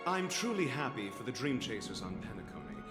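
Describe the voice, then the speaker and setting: An actor voicing an eloquent, upbeat diplomat with a sly wit in a video game. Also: male voice, mid-range voice, sing-song, fairly quick, lightly acted. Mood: pleased. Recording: roomy echo under loud music.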